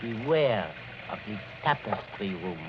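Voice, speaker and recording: A man's voice: fairly low pitch, big pitch swings, unhurried. An actor playing a polite, superstitious French coachman in an old radio drama, speaking deferentially and ominously with a French accent. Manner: deferential, foreboding. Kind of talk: 1940s radio acting. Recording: dull, noisy old radio recording.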